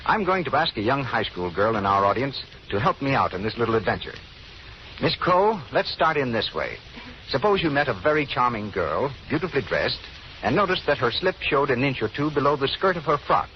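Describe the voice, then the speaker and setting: A man's voice: mid-range voice, big pitch swings, brisk. A man announcing a 1930s radio show and its sponsor message in a polished, formal, theatrical manner. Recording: muffled old radio recording, faint hiss.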